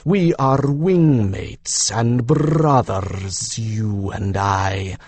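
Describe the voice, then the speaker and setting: Man voicing a very slow, earnest griffin. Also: fairly low pitch, creaky, a little mellow, slightly rough, voice pushed down, full character voice. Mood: earnest, solemn. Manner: slow, halting, formal speech. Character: sheepish, earnest young male griffin, formal and proud. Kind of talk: audiobook character voice.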